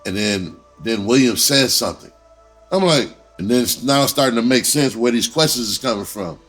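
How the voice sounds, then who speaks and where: A man's voice: medium pitch, animated, normal speed, fairly bright tone, breathy edge. A man telling a personal story in casual, profane slang, repeating himself and acting out conversations as he goes. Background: music under the voice.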